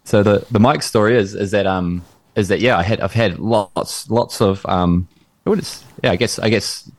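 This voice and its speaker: Man, band singer, very low voice, dry close podcast mic; rambling casual guest who circles back on his words. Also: normal speed, no room sound. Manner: rambling, repeats words while searching for them. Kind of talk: casual chat. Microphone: in-ear monitors with podcast mic.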